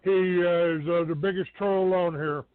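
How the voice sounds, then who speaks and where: High-pitched, blunt man on a phone-like line. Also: fairly even intonation, normal speed, clean tone, no room sound. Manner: blunt, combative, dismissive, swearing. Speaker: talk show host taking calls. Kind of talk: live internet radio call-in talk. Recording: narrow phone-like low-fidelity internet radio sound.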